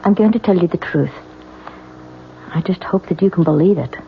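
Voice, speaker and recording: Anxious young wife, dull old radio. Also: female voice, lowish voice, normal speed, gravelly. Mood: uneasy. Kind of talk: 1970s radio drama acting. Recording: dull old radio broadcast, faint music.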